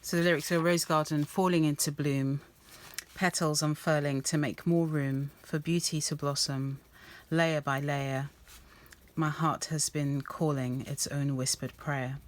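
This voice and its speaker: Low-voiced woman chatting while crafting. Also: fairly even intonation, a little slow. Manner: rambling, soft-spoken, many ums and restarts. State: slightly croaky voice. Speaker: art journaling vlogger. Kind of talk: casual craft-along chat.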